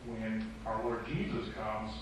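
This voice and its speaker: A man's voice: on the low side, flat delivery, slow pace. A man sharing reflective thoughts in a group Bible study with many fillers, recorded far off in an echoey, noisy room. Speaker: participant.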